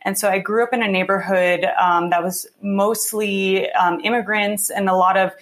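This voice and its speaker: A woman's voice: medium pitch, steady tone, moderate pace, gravelly, a bit bright. A young woman from California, a podcast guest telling her story in a reflective, casual way with many fillers and restarts. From California, USA. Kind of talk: casual interview.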